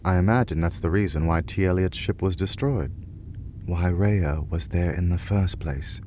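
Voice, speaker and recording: An actor playing a gentle, bookish man who earnestly explains theories in an audio drama. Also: low voice, normal speed, a little hiss or hum, natural voice. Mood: thoughtful. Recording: studio radio play with music underneath.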